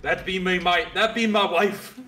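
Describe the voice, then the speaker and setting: A man with an Australian accent streaming a pirate game with friends, excitable and quick to laugh. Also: high voice, slight background noise. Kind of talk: casual gaming chat.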